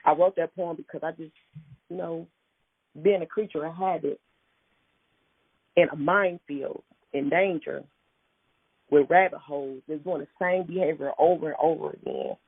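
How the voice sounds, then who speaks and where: Husky woman poet on a phone line. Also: sits low, normal speed, long pauses, dry recording. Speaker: poet and interview guest. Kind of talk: conversational, reflective talk and poetry reading. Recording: tinny, phone-like radio call-in line.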